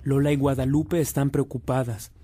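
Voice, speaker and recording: A man narrates a scripted radio drama in a dark, soft-edged voice of medium pitch. His delivery is formal and measured. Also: flat delivery.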